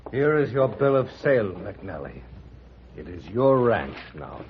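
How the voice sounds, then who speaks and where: Low, dignified rancher. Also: male voice, sing-song, unhurried. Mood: formal. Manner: formal, stiff, careful wording. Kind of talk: 1950s radio Western acting. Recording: muffled, noisy old-time radio recording.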